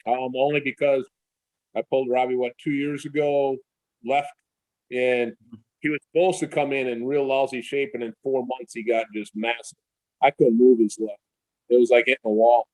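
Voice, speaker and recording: A man's voice: medium pitch, level tone, normal speed, darkish tone, dry recording, low-quality recording. A man guest giving a plainspoken, considered opinion in a casual live video chat.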